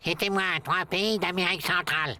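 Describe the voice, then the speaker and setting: A man's voice: high voice, steady tone, brisk, bright, forceful tone, a little hiss or hum. An actor playing a pompous, stern male school inspector in a French children's cartoon, with an officious manner.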